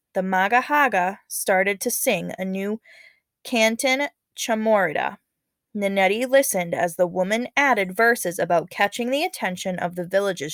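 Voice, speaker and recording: Female teacher, medium pitch, instructive and casual, reading a storybook to students with expression; dry recording. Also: dry recording. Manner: instructive, checks in with okay and all right. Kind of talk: expressive read-aloud with casual teaching asides.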